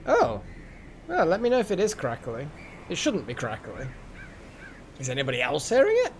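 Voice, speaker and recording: Male game streamer, slightly high voice, casual rambling chat, thinking aloud, faint noise behind. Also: wildly swooping pitch, normal speed. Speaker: game livestream host. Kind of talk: casual live gaming chat.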